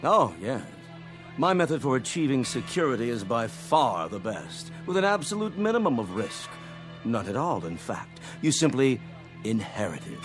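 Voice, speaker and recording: Male actor as wealthy, complacent gentleman, radio play, mid register, expressive swings, music behind. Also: mid-range voice, normal speed, lightly acted. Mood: smug. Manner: self-satisfied, leisurely. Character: smug, wealthy gentleman of inherited fortune. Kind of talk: dramatic radio play acting.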